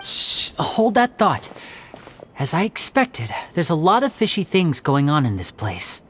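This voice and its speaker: A suave young stage magician. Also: male voice, fairly high pitch, huge pitch swings, a little hiss or hum, exaggerated voice. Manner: smooth, measured storytelling explanation. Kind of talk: video game cutscene dialogue. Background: soft background game music.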